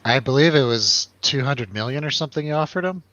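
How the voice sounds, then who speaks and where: A man's voice: medium pitch, fast talker, a bit bright, no echo, lo-fi sound. A man chatting casually and jokily as host of a film review show, in informal, slangy talk. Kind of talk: casual chat, film review over drinks.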